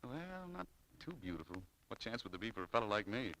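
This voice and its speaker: An actor playing an earnest, shy young shop clerk who is easily exasperated, in 1940s radio style. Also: male voice, lowish voice, big pitch swings, fast talker, bright, forceful tone, heavily acted, poor audio. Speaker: radio actor in a romantic comedy. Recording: vintage 1940s radio broadcast.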